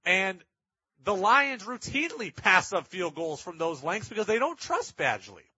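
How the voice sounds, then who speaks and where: A man's voice: high voice, sing-song, a little slow, sharp tone, slightly rough, no echo, muffled recording. A man hosting a sports talk show, giving opinionated commentary in an emphatic way, repeating his points to drive them home.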